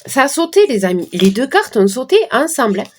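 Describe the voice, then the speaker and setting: Hoarse middle-aged woman, casual card reader, chatty asides. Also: fairly high pitch, sing-song, fairly quick, a little hiss or hum. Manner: chatty, talks to viewers while shuffling cards. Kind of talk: casual card-reading livestream talk.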